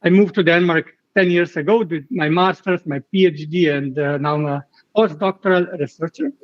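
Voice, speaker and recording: A man from Slovenia in his thirties gives a keynote lecture over a video call, his voice fairly high. He talks haltingly, repeating words and filling gaps with "uh". The dull, lo-fi call audio muffles him.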